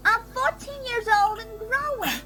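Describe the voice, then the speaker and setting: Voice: very high voice, expressive, a little slow. A performer voicing a stubborn, angry teenage pony filly with indignant, folksy country phrasing in a dramatic audio story. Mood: pleading, insistent. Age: young teen character. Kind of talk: dramatic fan fiction audio reading. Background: music bed.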